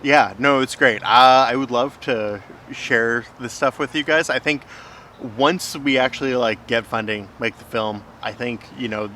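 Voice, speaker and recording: A man's voice: medium pitch, unhurried, pushed and bright, a little hiss or hum. A man hosting a casual vlog, explaining things in a conversational way. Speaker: host, filmmaker.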